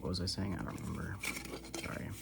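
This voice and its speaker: A soft-spoken ASMR creator rambling casually and meandering, trailing off toward near-whispers. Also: fairly even intonation, fast. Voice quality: soft, low, creaky voice. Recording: noisy recording with tapping and humming. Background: hum, tapping and scratching sounds.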